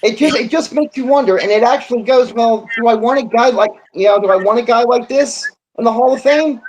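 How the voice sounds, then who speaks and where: A man talking sports casually as a panelist, reflective and halting, often repeating words as he builds a point. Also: extremely high pitch, muffled recording. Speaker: sports talk show co-host or guest.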